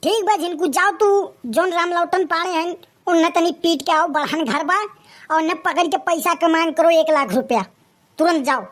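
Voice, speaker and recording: A woman's voice: very high-pitched, moderate pace. A woman with an Awadhi accent voicing a scheming, boastful young male wannabe gangster in a comic cartoon, pushy and bragging. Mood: threatening.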